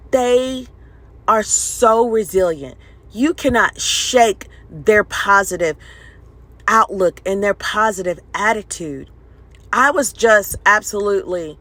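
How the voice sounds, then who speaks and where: A woman's voice: mid-range voice, a bit bright. A woman hosting a commentary video, talking informally and emphatically with strong opinions about sports news.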